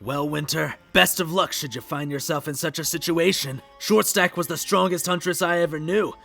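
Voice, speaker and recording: A man playing a bitter, grieving, middle-aged hard-drinking huntsman in a fan comic dub, with a gravelly, raspy voice and a mocking, menacing drawl. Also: on the high side, moderate pace, a bit bright, faint music behind. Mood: cold, mocking.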